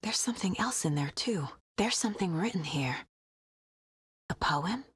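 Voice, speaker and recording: A woman's voice: on the low side, lively intonation, normal speed, breathy edge, no echo, studio quality. An actress playing a composed, inquisitive woman investigator in a video game, musing to herself in an understated way. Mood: curious, musing.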